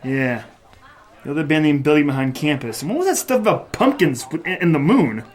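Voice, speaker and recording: An actor playing an irritable hard-boiled private eye in a noir audio drama, terse and wisecracking. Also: male voice, on the high side, animated, fairly quick, close to an everyday voice. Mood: gruff, wry. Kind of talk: noir radio drama acting.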